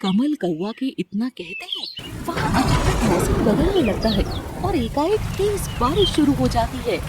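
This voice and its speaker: A woman's voice: high voice, very animated, measured pace, heavy background noise. A woman narrating a scripted cartoon story as its storyteller. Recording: music behind the voice.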